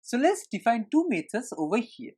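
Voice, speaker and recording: A woman's voice: fairly high pitch, sing-song, normal speed, mellow, breathy edge. A woman narrating a screencast tutorial as an instructor, explaining things step by step in an instructional way.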